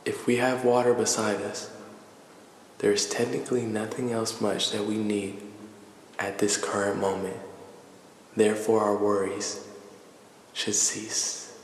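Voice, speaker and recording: A man leading a guided meditation in a calm, deliberate, soothing manner, pausing between measured phrases. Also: on the low side. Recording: echoey reverberant voice with faint noise.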